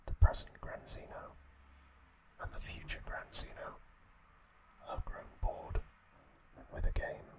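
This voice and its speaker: A man whispering breathily in a halting, gentle ASMR storytelling recap, close to the microphone. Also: rough recording. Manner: slow, halting phrases with pauses. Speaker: ASMR host.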